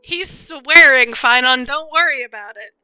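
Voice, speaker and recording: Casting: female game streamer, piercingly bright high voice, chatty and rambling, dry close mic over faint music. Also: unhurried, smooth, no room sound, poor audio. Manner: rambling, animated fan reactions. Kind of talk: casual live stream commentary.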